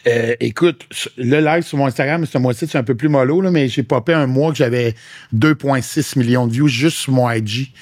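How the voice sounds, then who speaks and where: A man with a Québécois accent speaks in a mid-range voice, frank and animated, a fitness coach chatting casually as a guest. He drops English slang into his talk. The sound is dry and close. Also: medium pitch, moderate pace, dry recording. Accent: Québécois French. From Quebec. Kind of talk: casual interview chat.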